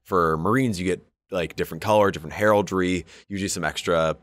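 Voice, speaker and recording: A man's voice: deep voice, fairly even intonation, fast talker, fairly bright tone, no room sound. A man co-hosting a podcast in casual, informal chat, explaining things with some swearing. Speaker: podcast co-host. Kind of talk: casual chat between friends.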